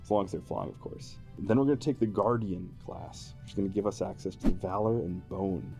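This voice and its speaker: A man's voice: low voice, brisk, creaky, warm tone, faint music behind. An amused, playful man, explaining a tabletop game character build in a chatty style. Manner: amused, playful, chuckling often. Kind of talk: explanatory chat with a co-host.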